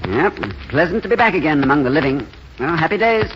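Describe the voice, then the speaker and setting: An actor playing an eloquent, fatalistic gentleman wanderer with a musing, theatrical manner, in a noisy 1940s radio drama. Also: a little high, animated, fast, dull audio, over loud music, lightly acted. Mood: wry musing.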